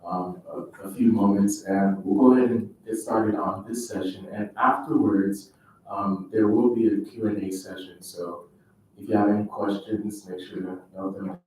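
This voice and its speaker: A man's voice: fairly low pitch, fairly even intonation, moderate pace, a little mellow. A young man hosting a student event, politely giving informal announcements and instructions to a group. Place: large indoor room at a student event. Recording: very echoey room, distant mic, noisy.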